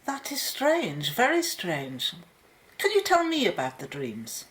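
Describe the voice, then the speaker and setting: Woman acting a measured, attentive doctor. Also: fairly high pitch, huge pitch swings, fairly bright tone, full character voice. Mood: concerned. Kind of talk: radio play acting.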